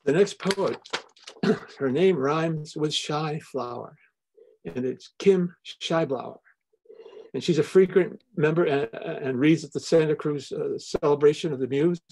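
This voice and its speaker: Older male emcee, warm dark voice, reads verse then gives hesitant poet intros over webcam. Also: on the high side, vocal fry, rough recording. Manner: halting, with restarts and fillers. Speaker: poetry reading emcee. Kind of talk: reading poetry and introducing poets. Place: home study with bookshelves. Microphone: laptop webcam on video call.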